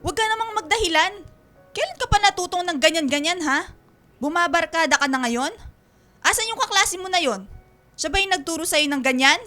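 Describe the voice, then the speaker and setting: A woman's voice: extremely high pitch, a little fast, sharp tone, faint music behind. An actress playing an exasperated young Filipino working woman, a dutiful daughter and strict older sister, in a radio drama. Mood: stern, scolding. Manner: exasperated, pleading.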